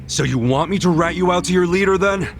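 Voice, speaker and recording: A man's voice: high-pitched, fast talker, slight background noise. An actor playing a tough, streetwise but decent man in an English video game dub, talking in casual slang. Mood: taunting. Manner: casual, slangy, plain-spoken.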